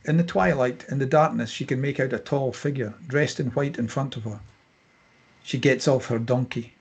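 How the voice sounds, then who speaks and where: A man narrating scene headings and stage directions at a screenplay table read in a husky voice. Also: medium pitch, moderate pace.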